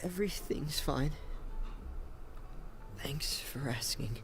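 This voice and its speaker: A man voicing a soft-spoken, shy and earnest teenage boy in a video game, with a quiet, halting manner. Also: on the high side, noisy background. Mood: quiet, guarded. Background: background music.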